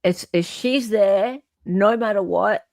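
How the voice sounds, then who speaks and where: A woman's voice: mid-range voice, slow, gravelly, a little mellow, no room sound. A woman with an Australian accent hosting a casual commentary, thinking aloud and rephrasing as she works through details. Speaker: host.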